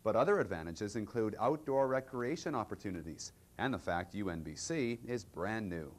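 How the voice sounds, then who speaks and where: Low-voiced man narrating campus news. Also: expressive, moderate pace. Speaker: program narrator.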